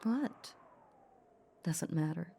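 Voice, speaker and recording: A woman playing a possessive, seductive vampire in a roleplay audio drama, teasing her captive with menacing sweetness in a breathy near-whisper. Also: low-pitched, lively intonation, drawn-out words, mellow, slight background noise, full character voice. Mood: amused, possessive.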